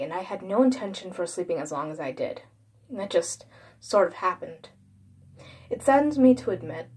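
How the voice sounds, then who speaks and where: A woman with a somewhat low voice reads a horror story. She narrates in the first person as a creepypasta storyteller. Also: slightly rough.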